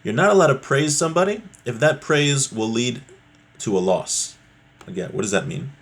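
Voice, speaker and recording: A man teaches a religious text in an informal lesson, with a mid-pitched voice. He explains each point conversationally, posing short rhetorical questions as he goes. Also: lively intonation, moderate pace.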